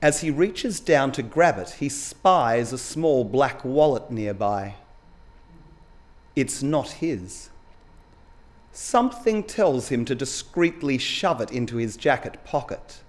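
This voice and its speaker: A middle-aged man reading short fiction aloud on stage, expressive and dramatic in his storytelling. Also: mid-range voice, takes it slowly. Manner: dramatic, acts out dialogue. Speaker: story reader at a live literary event. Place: stage at a small indoor event venue. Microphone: lectern gooseneck microphone.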